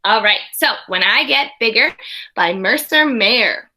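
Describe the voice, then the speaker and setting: Bright-voiced woman teacher reading aloud. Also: on the high side, a little slow. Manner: expressive storybook reading with conversational asides to children. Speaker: early primary school teacher. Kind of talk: read-aloud lesson for young children.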